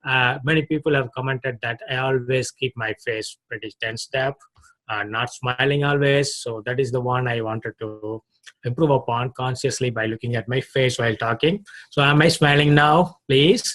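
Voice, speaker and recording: A man from India with an Indian accent, a club guest giving a short unprepared speech on a video call, halting and restarting his phrases. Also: medium pitch, fairly bright tone, rough recording.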